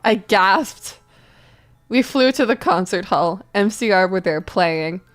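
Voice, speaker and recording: Slow-paced woman reading for laughs. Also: medium pitch, expressive, faint music behind. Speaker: co-reader on a live stream. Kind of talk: comedic dramatic reading with banter.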